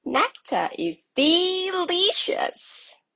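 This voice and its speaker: A woman reading a storybook, voicing a buzzy, cheerful bumblebee in an enthusiastic, drawn-out manner. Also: very high voice, very animated, vocal fry, sharp tone, no echo, voice pushed up, exaggerated voice. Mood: enticing. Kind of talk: children's storybook read-aloud.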